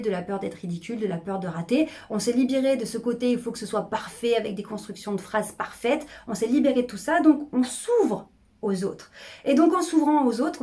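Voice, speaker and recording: A middle-aged woman speaking as a public speaking coach, energetic and emphatic, often repeating phrases to drive a point home. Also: medium pitch. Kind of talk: coaching video monologue.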